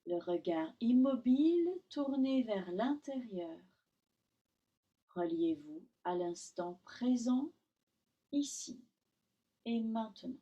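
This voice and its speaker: A woman with a slightly high-pitched voice leads a guided relaxation as a yoga teacher. She speaks very slowly and calmly, giving instructions in short phrases separated by long pauses. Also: highs cut off.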